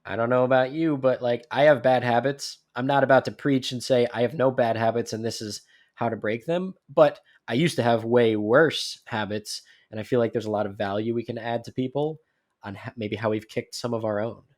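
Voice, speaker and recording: A man co-hosting a casual podcast, congested, talking in an animated, joking way. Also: medium pitch, fairly quick. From New Jersey. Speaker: podcast co-host.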